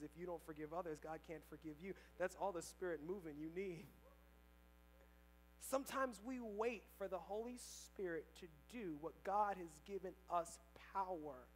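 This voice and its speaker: A young man preaching a sermon on a church stage through a headset mic, swinging between loud emphatic lines and quiet asides. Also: high-pitched. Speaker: pastor. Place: church stage, large indoor hall.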